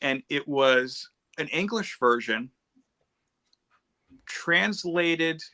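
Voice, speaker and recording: A man's voice: on the high side, expressive, extremely slow, bright, forceful tone, dry recording. A man chatting casually as a panelist on a group livestream, rambling and wandering off into tangents. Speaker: panelist on a comics discussion stream. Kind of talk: casual chat.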